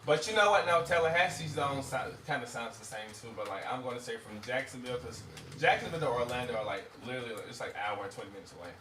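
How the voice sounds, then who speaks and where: A man's voice: medium pitch, lively intonation, fast. A man with a Florida accent chatting casually in a group, often mimicking other people's voices when he tells a story. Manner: chatty, imitates voices when telling stories. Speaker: reality show contestant. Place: shared house indoors. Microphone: ceiling surveillance mic. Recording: echoey room, distant mic, background noise.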